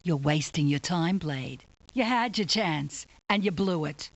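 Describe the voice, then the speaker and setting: Sultry flirt, slightly low pitch, slow pace, coy, catty, teasing, video game. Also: female voice, lively intonation, heavily acted. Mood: scornful. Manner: coy, teasing, seductive delivery. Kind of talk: video game character acting.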